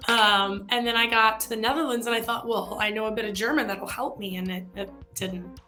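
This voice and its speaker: American woman in her thirties, bright and fairly high voice, chatty guest with faint music behind. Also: steady tone, fairly quick. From United States. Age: 30s. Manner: chatty, self-deprecating, lots of fillers. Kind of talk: casual video chat.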